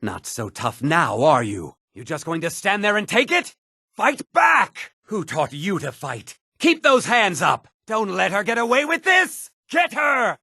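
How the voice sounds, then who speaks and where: An actor playing a rowdy brawler in a fantasy game, goading fighters with raised, shouted calls. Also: male voice, high-pitched, big pitch swings, a little slow, creaky, breathy edge, no room sound, clean studio sound, exaggerated voice.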